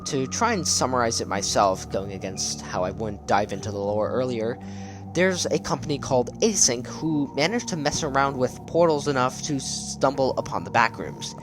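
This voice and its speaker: Man narrating over background music. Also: mid-range voice. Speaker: video essay narrator. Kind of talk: casual scripted commentary on horror web series.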